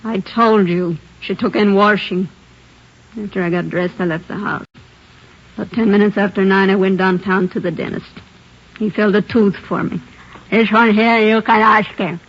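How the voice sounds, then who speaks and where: A woman's voice: mid-range voice, steady tone, moderate pace, lightly acted. An American actress playing a brash, defensive married woman accused of murder in a 1950s-style radio crime drama, slangy and defiant. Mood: sullen, weary. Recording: dull lo-fi old-time radio recording.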